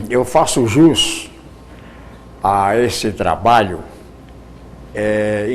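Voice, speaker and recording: A man's voice: medium pitch, a little mellow. Rambling older Brazilian man, faint noise. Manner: rambling, repeats and restarts words. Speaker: interview guest, radio broadcaster. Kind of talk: informal interview answer.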